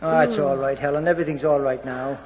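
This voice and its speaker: An actor playing a wry, unflappable New York police detective with clipped hard-boiled delivery, in an old-time radio crime drama style. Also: male voice, fairly high pitch, fairly even intonation, fast, big-room sound, noisy background, close to an everyday voice. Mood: calm, hushed. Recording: dull lo-fi vintage radio recording.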